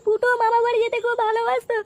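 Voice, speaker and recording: A woman's voice: very high voice, completely flat delivery, rapid-fire, a crowd in the background. A woman voicing a grieving village mother in a cartoon, crying and lamenting. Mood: wailing grief. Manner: keening, lamenting. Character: grieving wailing village mother of a young man. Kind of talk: melodramatic Bengali comedy cartoon dubbing.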